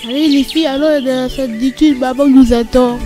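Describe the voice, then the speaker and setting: A woman voicing a studious young cartoon girl with a very high voice. She is polite and earnest, as if reciting her answers, with faint music behind. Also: fairly even intonation, fairly quick, lightly acted. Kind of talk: children's animated film dialogue.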